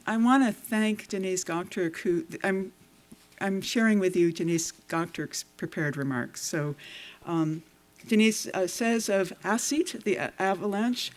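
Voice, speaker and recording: Woman host reading a formal intro from notes at a screening, measured pace, theater setting. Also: mid-range voice. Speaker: event host introducing a guest.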